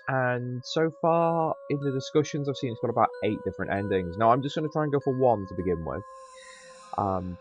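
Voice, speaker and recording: A man's voice: lowish voice, dull audio. A man hosting a game playthrough, commenting casually with wry, conversational asides. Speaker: host of a gaming channel.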